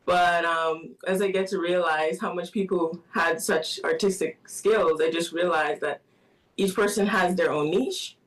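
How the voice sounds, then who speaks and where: A soft-spoken woman answers a radio interviewer, her speech full of little fillers and light laughs. Her voice sits a little away from the microphone. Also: on the low side, steady tone, unhurried. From Bahamas. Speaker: guest artist. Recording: voice somewhat distant from the mic.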